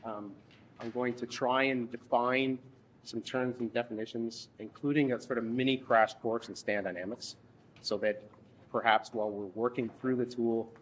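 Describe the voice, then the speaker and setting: A man in his thirties leading a workshop from a lectern in a conference room, speaking haltingly with frequent restarts. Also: fairly low pitch, a little hiss or hum, poor audio. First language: English. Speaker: workshop instructor. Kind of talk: workshop presentation.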